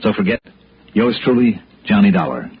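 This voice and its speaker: An American actor playing a tough, cool insurance investigator in clipped, hard-boiled 1960s radio noir style. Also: male voice, lowish voice, steady tone, normal speed, slight background noise, natural voice. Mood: wry, relieved. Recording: muffled vintage radio, music behind.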